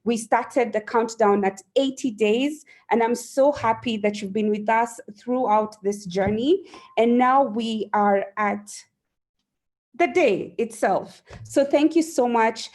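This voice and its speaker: A woman's voice: a little high, takes it slowly, a little mellow. A woman hosting a launch event, giving an eager, gushing welcome speech. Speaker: event host.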